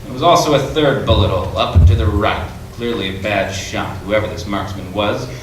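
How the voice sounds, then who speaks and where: A man playing a self-assured, wryly conceited 1940s New York private detective, narrating in old radio drama style with deliberate, hard-boiled storytelling. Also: on the low side, natural voice. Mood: brooding, self-satisfied. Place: improv theatre stage before an audience. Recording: echoey theatre stage, distant mic, noisy.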